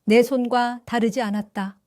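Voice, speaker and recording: Calm older woman narrating a memoir. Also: fairly high pitch, normal speed, no room sound, clean studio sound. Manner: calm, reflective. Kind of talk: scripted first-person audio drama narration.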